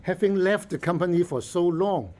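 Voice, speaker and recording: An older man, a senior official giving measured, formal interview answers. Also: high voice, darkish tone.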